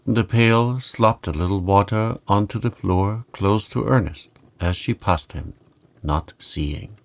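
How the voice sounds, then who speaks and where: A man gently narrating a bedtime story in a calm, measured way. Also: lowish voice. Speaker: audiobook narrator.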